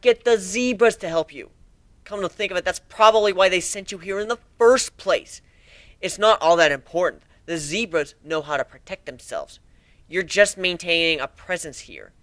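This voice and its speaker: A man's voice: high-pitched, animated, moderate pace, sharp tone, dry recording, pitched above the performer's own voice. A man voicing a brash, sarcastic, taunting and exuberant male griffin pirate captain in a dramatic story reading.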